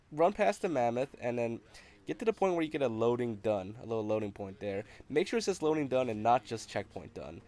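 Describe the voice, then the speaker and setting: A man's voice: medium pitch, a little mellow. A man narrating a video game walkthrough in a casual, conversational, explanatory way.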